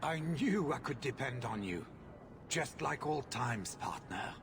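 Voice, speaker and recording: An actor playing a greedy, self-pitying mine owner in a video game, lamenting in a theatrical manner. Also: male voice, mid-range voice, lively intonation, a little slow, background noise. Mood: ingratiating. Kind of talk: dramatic video game dialogue.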